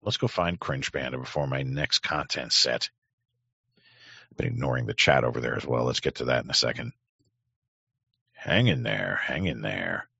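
A man's voice: very deep voice, expressive, moderate pace, gravelly, pauses often, bright, forceful tone, breathy edge, no echo. A man streaming live, chatting casually and reacting crudely to clips he plays. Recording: dry, dull lo-fi sound.

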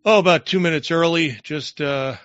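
A man's voice: on the high side, moderate pace, a bit bright, no room sound, muffled recording. A man hosting a live online show in casual chat, loose and rambling, often trailing off mid-sentence.

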